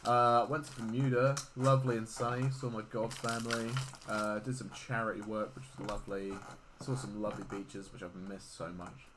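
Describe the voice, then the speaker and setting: A man's voice: fairly low pitch, steady tone, normal speed, background noise. A man co-hosting a casual podcast, blunt and jokey, swearing freely. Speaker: podcast co-host. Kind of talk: casual chat.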